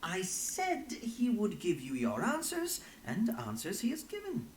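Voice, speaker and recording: A woman's voice: mid-range voice, wildly swooping pitch, a little slow, darkish tone, a little hiss or hum. A woman playing a sly, theatrical go-between in a video game, with florid, pompous, archaic phrasing. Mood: florid, showy.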